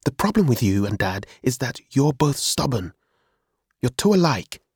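An outspoken, exasperated young brother acted by a man in an audiobook story. He scolds forcefully, with his voice pitched well up. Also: big pitch swings, moderate pace, husky, no room sound, studio quality, full character voice. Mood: angry, scolding.

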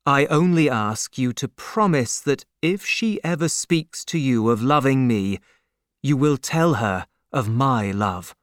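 A man voicing an earnest, humble young gentleman who speaks in a sincere, measured way. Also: mid-range voice, expressive, dry recording, clean studio sound.

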